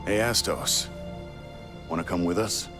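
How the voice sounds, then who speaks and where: An actor playing a gruff, weary, rebellious warrior with a soft spot in a video game, gravelly and terse. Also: male voice, low voice, music in the background. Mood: low-key. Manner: terse, unhurried, understated. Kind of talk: cinematic video game acting.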